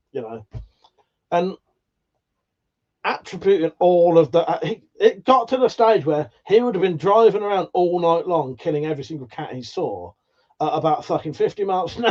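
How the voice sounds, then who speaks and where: A man's voice: a little high, darkish tone, dull audio. A man from England, hosting a casual livestream, reading out chat comments in a sweary, jokey way with frequent laughs. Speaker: livestream host.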